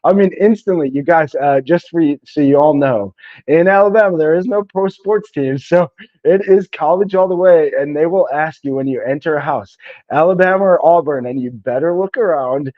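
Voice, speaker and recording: A man's voice: a little high, normal speed, dry recording, phone-quality sound. A male podcast host who chats enthusiastically and laughs often. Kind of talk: casual interview chat.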